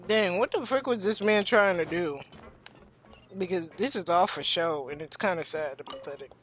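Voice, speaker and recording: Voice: medium pitch, normal speed, fairly bright tone. A teenager giving casual gameplay commentary with playful, slangy reactions. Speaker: game streamer. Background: game music.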